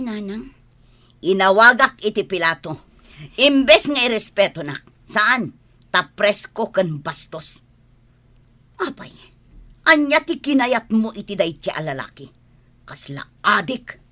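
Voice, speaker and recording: A bitter grown daughter in an Ilocano radio drama. Her voice is bright and cutting at a middle pitch. She scolds and argues with an Ilocano accent.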